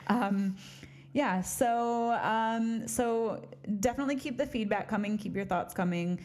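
A woman's voice: a little high, a little slow, a little mellow. A woman co-hosting a casual podcast, rambling and laughing often, recorded on a studio mic while wearing headphones. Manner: giggly, rambling, self-deprecating. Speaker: podcast co-host. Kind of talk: casual chat.

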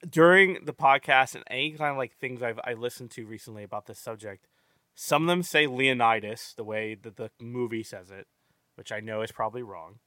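A man's voice: medium pitch, a little fast, sharp tone, no room sound. A man hosting a casual movie-review podcast, rambling with many stammered restarts and free swearing. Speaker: podcast host.

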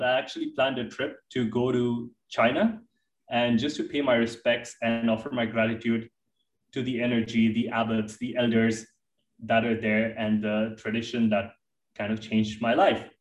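A man's voice: medium pitch, normal speed. A man hosting an interview podcast, speaking with enthusiasm in long, winding questions. His first language is Hindi. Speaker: podcast host. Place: Dubai. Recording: roomy echo, distant from mic.

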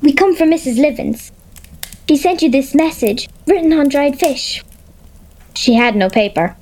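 Menina irlandesa de voz aguda. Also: female voice, sits high, lively intonation, unhurried, darkish tone. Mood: earnest. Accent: Irish. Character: earnest, brave young girl on a quest. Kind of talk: children's audio drama acting and narration.

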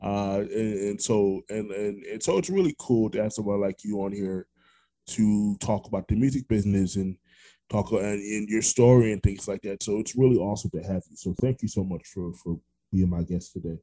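American male podcast host, bright halting delivery. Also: on the low side. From Orlando, Florida, United States. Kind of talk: casual conversational interview.

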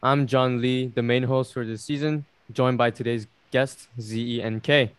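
Brief: young male podcast host, easygoing and giggly, mid-pitched, dry close sound. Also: fairly even intonation, moderate pace, smooth, no echo. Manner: chatty, laughs often, many "like" fillers. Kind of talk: casual podcast chat.

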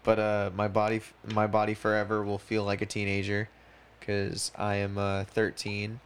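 A man's voice: fairly low pitch, monotone, normal speed, clear, resonant tone. A man in his twenties chatting casually as a podcast co-host, rambling and slangy, swearing freely. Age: 20s. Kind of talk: casual chat.

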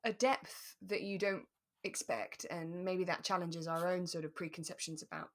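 A British woman co-hosting a relaxed chat podcast, telling stories with acted-out asides. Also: on the low side, normal speed. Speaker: podcast co-host. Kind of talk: relaxed chat about a radio drama.

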